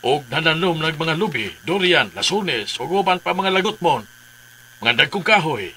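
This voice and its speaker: A proud, stubborn older tenant farmer in a Filipino radio drama. His voice is forceful and bright, with a Cebuano accent, over faint noise. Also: fairly high pitch, expressive, normal speed. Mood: firm, reasoning. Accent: Filipino, Cebuano-speaking.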